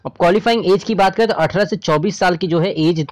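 Male Indian explainer host, slightly high voice, informal and repetitive, talking straight to viewers as friends. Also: fast talker. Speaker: host of a government job news channel. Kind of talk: informal explainer narration over a screen recording.

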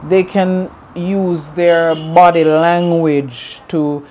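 Male interviewer, mellow higher voice, short prompts, light noise behind. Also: little pitch movement, extremely slow. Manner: brief prompts and backchannels. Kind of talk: interview questions.

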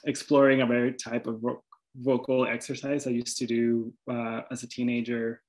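A man's voice: medium pitch, level tone, normal speed, darkish tone. A man from Venezuela with a Venezuelan accent, an artist presenting his work over slides, hesitant, with many restarts and fillers.

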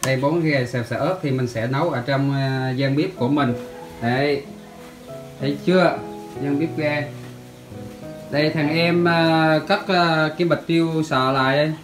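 A man's voice: on the high side, steady tone, moderate pace. A man with a southern Vietnamese accent narrating a vlog casually, chatting familiarly to his viewers. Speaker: vlogger. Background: music.

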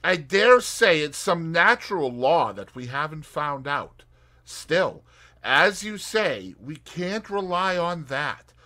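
A man raises his voice into a brisk young woman detective, eager and emphatic. The pitch is lifted and leaps around with lively energy. Her tone is bright and crisp, as read aloud in an audiobook. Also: exaggerated voice. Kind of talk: audiobook character reading.

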